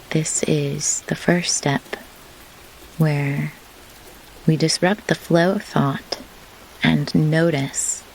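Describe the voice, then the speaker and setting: A woman leading a guided meditation in measured, deliberate phrases with pauses, as a host who works as a grief doula. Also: deep voice, low-quality recording. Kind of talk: slow guided meditation instruction. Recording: clear pink-noise rain hiss behind. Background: pink noise like steady rain.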